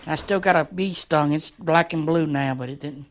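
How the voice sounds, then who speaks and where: A folksy vlogger talks through a hands-on motorcycle project in a mid-pitched voice. The delivery is casual and rambling, with loose colloquial grammar and a jokey streak, as an informal progress update from a shed workshop. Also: moderate pace.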